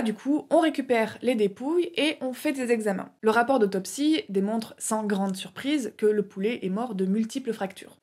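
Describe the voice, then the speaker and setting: A woman's voice: fairly high pitch, darkish tone, husky. A young woman casually explaining an artwork in a chatty way, with frequent filler hesitations. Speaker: YouTube art explainer. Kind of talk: casual educational video narration.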